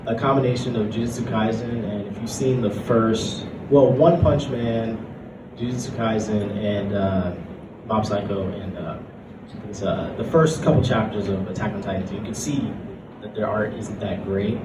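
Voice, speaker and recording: A man's voice: on the low side, steady tone, moderate pace, heavy background noise. Man giving advice in an echoey room. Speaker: panelist, comic writer. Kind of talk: casual panel advice. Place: convention panel room. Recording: echoey, distant, music in background.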